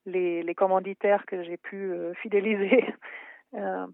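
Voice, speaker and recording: A woman from Germany, native German speaker, answering interview questions in French with a German accent, hesitant and full of filler pauses. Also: mid-range voice, flat delivery, vocal fry, dry recording. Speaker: photography historian and translator. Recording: tinny dry phone line.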